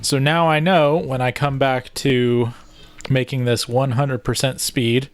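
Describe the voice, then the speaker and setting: A man's voice: medium pitch, fairly even intonation, measured pace. A man talking casually to viewers in a vlog, giving tips and walking through plans in an informal, rambling way, as if thinking aloud. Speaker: vlogger, video editor. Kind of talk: casual vlog talk and tutorial.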